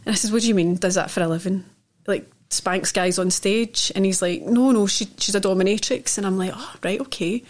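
Scottish woman guest rambling thru her stories, thick accent, middle pitch. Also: brisk. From Scotland. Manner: chatty, rambling storyteller. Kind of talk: casual chat.

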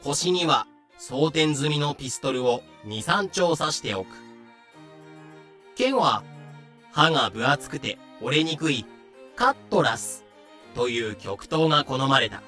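Male narrator for audio drama: slightly high, crisp-edged bright tone, unhurried dramatic read. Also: animated, faint music behind.

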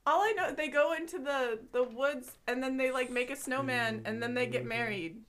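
A woman's voice: high-pitched, normal speed, a bit bright. A young woman guesting on a casual podcast, bubbly and a bit sheepish, laughing often. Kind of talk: casual chat.

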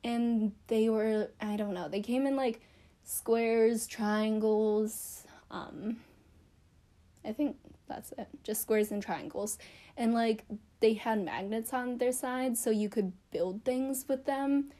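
A woman's voice: fairly high pitch, fairly even intonation, slow, warm tone. A young woman chatting casually to camera about her childhood, rambling and self-deprecating with lots of fillers. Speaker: vlogger.

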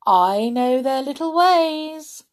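A woman voicing an amused, knowing older woman who scolds gently with a chuckle. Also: high-pitched, animated, slow pace, voice pushed up. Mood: scolding.

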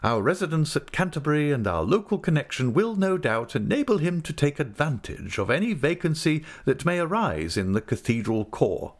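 A man voicing a pompous, complacent middle-aged gentleman with a grandiloquent, ostentatiously resigned manner in an audiobook. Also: on the high side, sing-song, moderate pace, hardly any pauses, voice pushed up. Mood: proud. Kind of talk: audiobook character acting.

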